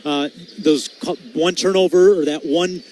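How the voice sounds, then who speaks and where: A man speaking as a guest hockey analyst, conversational and halting, often repeating words as he goes. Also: fairly high pitch, fairly even intonation, darkish tone, a little hiss or hum.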